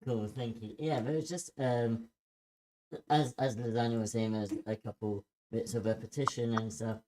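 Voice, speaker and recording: A man giving informal feedback in a group critique, speaking in a hesitant, halting way. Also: mid-range voice, fairly even intonation, darkish tone, low-quality recording. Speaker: participant giving script feedback.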